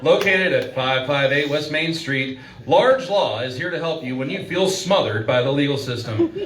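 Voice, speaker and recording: A man's voice: fairly high pitch, expressive, moderate pace, a bit bright, a little hiss or hum. Echoey male radio ad announcer. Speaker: commercial announcer. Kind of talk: scripted mock radio advertisement. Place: live stage performance in a venue. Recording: echoey room, distant mic.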